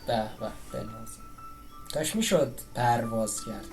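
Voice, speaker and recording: A woman's voice: a bass voice, expressive, rapid-fire, gravelly, over music. A woman streaming a game with casual commentary and wry, teasing asides.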